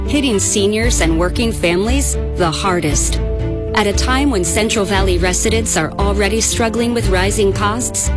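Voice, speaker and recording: Stern woman narrating an attack ad. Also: medium pitch. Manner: stern, accusing. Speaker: ad voice-over. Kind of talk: political ad narration. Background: music.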